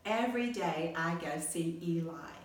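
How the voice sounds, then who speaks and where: A woman's voice: fairly low pitch, measured pace, natural voice. A woman voicing a carefree, kindly female wooden puppet in a storybook, with a light, reassuring manner. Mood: breezy. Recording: echoey room, far from mic, faint noise.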